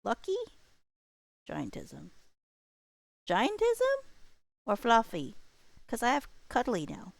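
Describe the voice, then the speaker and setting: High, animated woman streaming a game. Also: slow pace, creaky, long pauses, dry recording. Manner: talks to herself and to the game, thinking aloud. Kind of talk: casual live gameplay commentary.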